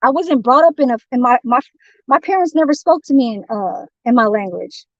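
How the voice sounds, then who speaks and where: A woman's voice: on the high side, lively intonation, a little fast, no echo. A woman from San Francisco ranting on a livestream, swearing constantly and talking in a rambling, confrontational way. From San Francisco, United States. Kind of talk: heated livestream rant. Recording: dull lo-fi dry stream audio.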